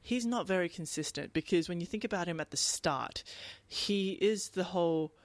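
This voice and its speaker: A woman co-hosting a podcast, chatting casually about a book in an animated, rambling way and acting out reactions. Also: lowish voice, normal speed, dry recording. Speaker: podcast co-host.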